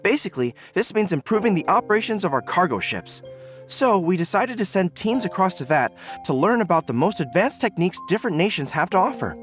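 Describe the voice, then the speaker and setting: A boyish, high-pitched young general, earnest and modest with lively swings in his delivery. He sounds slightly awkward, in game cutscene style with faint music behind. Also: fairly quick. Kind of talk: video game cutscene acting.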